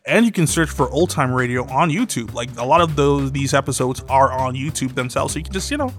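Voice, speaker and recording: A man's voice: medium pitch, fairly quick, a bit bright. A man hosting a podcast in casual chat, enthusiastic and often repeating himself. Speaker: podcast host.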